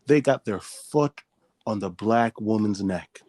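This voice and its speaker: A man's voice: fairly low pitch, slow, a little mellow, dry recording, clean studio sound. A man co-hosting a podcast, speaking in an emphatic, speechlike way during casual chat. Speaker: podcast co-host.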